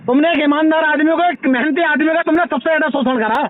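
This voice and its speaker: A man's voice: very high-pitched, fast talker, soft background music. A man in a heated phone argument, agitated, scolding and accusing, on a tinny recorded call.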